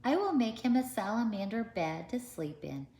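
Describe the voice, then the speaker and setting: A woman's voice: fairly high pitch, very animated, moderate pace, mellow, pitched above the performer's own voice. A woman voicing an eager, imaginative, earnest little boy in a storybook reading. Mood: eager, dreamy. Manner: earnest, enthusiastic.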